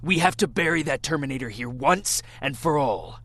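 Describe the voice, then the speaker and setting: An actor playing an urgent, commanding resistance soldier, speaking tersely in an action game. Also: male voice, mid-range voice, animated, normal speed, a bit bright. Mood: grim, urgent. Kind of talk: dramatic action game dialogue.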